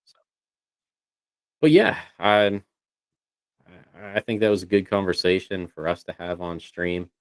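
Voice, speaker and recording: Low, smooth-voiced man chatting casually. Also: fairly even intonation, a little slow, pauses often, dry recording. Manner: rambling, hedging with many "you know"s. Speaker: co-host of a voice tech show. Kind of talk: casual chat on a livestream.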